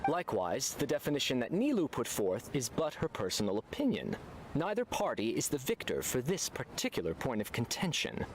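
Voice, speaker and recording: Judicious scholar arbiter, formal wording, very animated intonation, game dialogue, faint background noise. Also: male voice, mid-range voice, brisk. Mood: measured, official. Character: impartial male scholar acting as debate arbiter, measured. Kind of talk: scripted video game voice acting.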